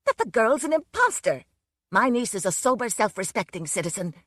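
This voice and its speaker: A woman voicing a furious older aunt who shouts in a haughty, indignant way. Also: on the high side, sing-song, brisk, dry recording, studio quality, voice pushed up.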